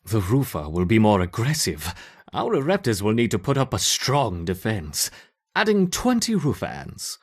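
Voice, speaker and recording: Husky, gleefully sadistic scientist. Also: male voice, fairly low pitch, huge pitch swings, moderate pace, full character voice. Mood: dutiful, clipped. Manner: theatrical, savoring, mock-innocent. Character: gleefully sadistic male scientist running ant experiments. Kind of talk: video game character acting.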